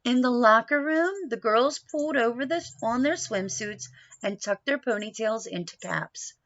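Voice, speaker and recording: A woman reading a picture book to young children like a teacher, pausing to explain and put questions to her listeners. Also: a little high, animated, fairly bright tone, lo-fi sound. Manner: instructive, questioning, addresses young listeners directly.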